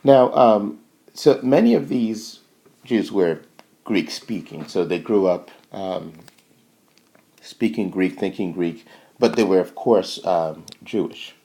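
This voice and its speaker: Male lecturer, low-pitched with plenty of fry, informal and halting explanatory delivery. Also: lively intonation, dark tone. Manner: halting, thinking aloud, checks in with "okay" and "right".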